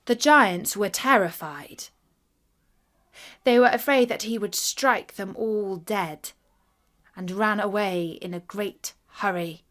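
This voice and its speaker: A woman narrating a scripted fairy tale for children in a deliberate, expressive bedtime reading. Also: mid-range voice. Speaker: storyteller.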